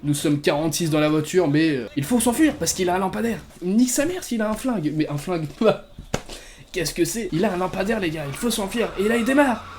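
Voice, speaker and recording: A young man giving a comedy reaction commentary, excitable and laughing, full of street slang. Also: high voice, brisk, a little hiss or hum. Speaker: YouTuber.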